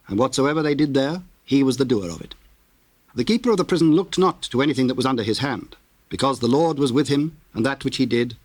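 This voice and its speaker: A man's voice: mid-range voice, darkish tone, no room sound. Solemn male scripture narrator, dry sound. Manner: solemn, measured, formal.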